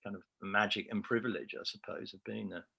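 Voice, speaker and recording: Gravelly Scouse man, low voice. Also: fairly even intonation, brisk, sharp tone. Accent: Liverpool. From Liverpool, England. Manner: reflective, self-deprecating. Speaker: award-winning researcher, interviewee. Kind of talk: remote interview.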